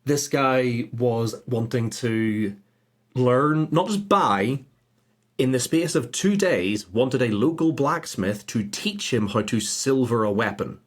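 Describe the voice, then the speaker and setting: A man's voice: medium pitch, animated, takes it slowly. A man chatting informally as a podcast guest, telling gaming anecdotes and jokily acting out mock quotes. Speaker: podcast guest, game master. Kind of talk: casual chat, animated anecdotes with mimicked quotes.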